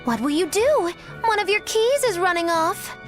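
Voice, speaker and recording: Gleeful little witch, super high voice, all over the place, showy game acting, music behind. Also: animated, normal speed. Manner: playful, showy. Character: gleeful, theatrical, mischievous witch who delights in chaos. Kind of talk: English video game voice acting.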